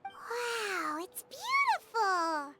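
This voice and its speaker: Squeaky, tiny fairy companion. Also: female voice, very slow, heavy background noise, exaggerated voice. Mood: excited, worried. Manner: chirpy, expressive, blunt. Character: tiny childlike floating fairy companion, chirpy and blunt. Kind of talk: video game voice acting.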